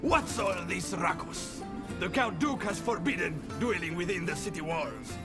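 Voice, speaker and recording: A man's voice: high-pitched, lively intonation, moderate pace, over music. An actor playing an officious, scolding, self-important city guard henchman in a cartoonish video game.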